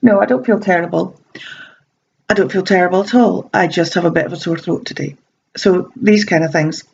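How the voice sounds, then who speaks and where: A woman's voice: lowish voice, brisk, vocal fry, darkish tone, dull audio, slight background noise. A woman with a slight sore throat giving casual self-help advice, chatty and meandering with frequent ums and restarts. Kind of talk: casual talking-to-camera advice.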